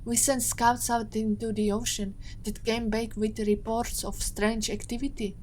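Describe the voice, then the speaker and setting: A woman playing a composed, guarded captive agent in an audio drama, with measured and formal phrasing. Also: a little high, level tone, a little mellow, a little hiss or hum, natural voice. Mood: grave, explaining.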